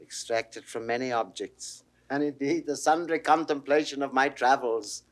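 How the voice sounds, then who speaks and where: An actor playing an affected, melancholy gentleman traveller, savouring each phrase in theatrical classical style for a 1960s audio drama. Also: medium pitch, normal speed, natural voice. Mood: self-satisfied musing. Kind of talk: classical Shakespearean stage acting.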